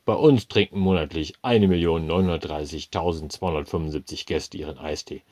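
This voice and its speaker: A man playing a burly, cigar-chewing cafeteria manager who talks in a loud, pompous, bullying bluster. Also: extremely low pitch, brisk.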